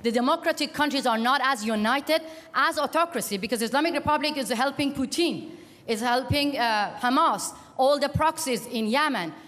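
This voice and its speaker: A woman from Iran asking a loud, direct and impassioned question at a conference panel. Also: high-pitched, lively intonation, moderate pace. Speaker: audience member asking a question. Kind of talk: forceful public question at a panel. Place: large conference hall. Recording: long hall reverb.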